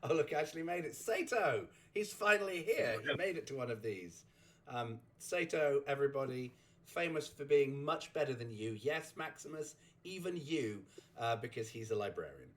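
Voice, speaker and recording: A man's voice: medium pitch, lively intonation, normal speed. A man hosting a casual recap chat, rambling and chuckling as he restarts his sentences, his voice just recovering from a sore throat. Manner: rambling, chuckling, self-interrupting. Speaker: host.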